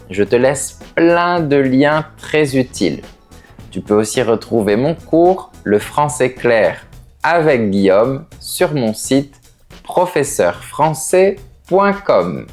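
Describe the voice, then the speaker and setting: Man, language teacher, unhurried careful delivery, very expressive melodic voice, stresses key words. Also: medium pitch. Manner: carefully enunciated, explanatory, with lively rising emphasis on key words. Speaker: French teacher. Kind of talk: slow, clearly articulated teaching.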